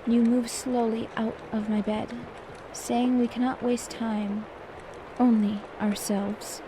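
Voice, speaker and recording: Woman playing a prim, soft-voiced maid, fairly high. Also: level tone. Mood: serene, tender. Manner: soft, formal, measured, prim. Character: poised, particular, competitive young maid servant. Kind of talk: soft-spoken ASMR roleplay with poetry reading. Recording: clear background ambience noise.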